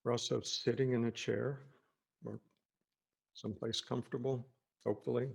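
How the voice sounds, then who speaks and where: A man leading an unscripted guided meditation as a teacher, speaking gently and reassuringly in halting phrases with pauses. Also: medium pitch, little pitch movement, gravelly, husky.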